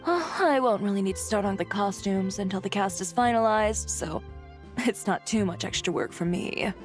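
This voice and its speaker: A woman's voice: mid-range voice, moderate pace, faint music behind, close to an everyday voice. A woman voicing a cool, self-assured, teasing fashion designer in a video game.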